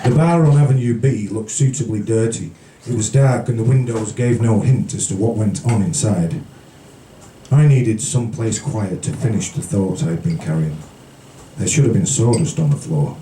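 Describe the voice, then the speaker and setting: A man from the British Isles reading poetry live at a café event in a measured storytelling manner, with brief casual asides. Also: on the low side, mellow. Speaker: featured poet. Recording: roomy, distant, noisy live recording.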